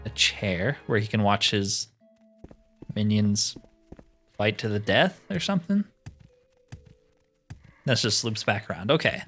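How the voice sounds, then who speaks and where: A man's voice: lowish voice, slow, long pauses, a bit bright, faint music behind. Man musing through casual game commentary. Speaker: let's player narrating a roleplay playthrough. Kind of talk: casual gameplay commentary, thinking aloud.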